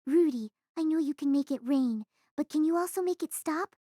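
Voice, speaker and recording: A very high, childlike voice acted out as a small apprentice mage boy. He is eager, innocent and full of questions, recorded close and dry for an audio drama. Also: normal speed, dark tone, no echo, lightly acted. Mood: shy, curious. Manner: wide-eyed, eager, simple childlike phrasing. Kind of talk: audio drama character acting.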